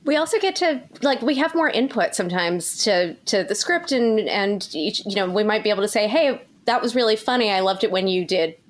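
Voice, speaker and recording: A woman with a medium-pitched voice talks in a casual, conversational style. She is one of a cast chatting in a group interview. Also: animated, a little fast, few pauses. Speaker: cast member of an audio drama.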